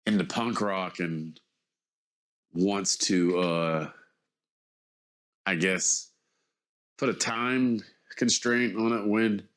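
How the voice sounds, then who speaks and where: A man in his forties with a low-pitched, slightly stuffed-up voice. He talks casually to his vlog audience, hesitating and correcting himself as he works his way around to a point. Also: steady tone, extremely slow, long pauses, fairly bright tone. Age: 40s. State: mildly congested. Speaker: vlogger. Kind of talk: casual rambling monologue to camera.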